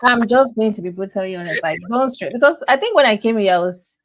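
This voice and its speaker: A woman's voice: mid-range voice, lively intonation, quick pace. A young woman with a Nigerian accent, a student guest on a podcast, speaking candidly with emphatic repeats. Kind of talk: casual podcast interview.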